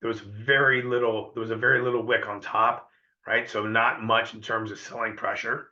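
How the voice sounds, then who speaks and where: A hoarse man giving a live trading lesson in a conversational way, often repeating himself and checking in with viewers. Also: lowish voice, flat delivery, moderate pace, sharp tone, roomy sound, lo-fi sound. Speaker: trading educator.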